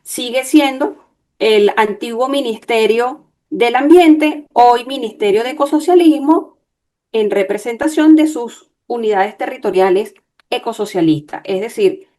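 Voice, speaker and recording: Slow, measured woman explaining. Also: a little high, dark tone. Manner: measured, explanatory, checks in with listeners. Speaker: lawyer giving a workshop. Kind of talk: online workshop talk.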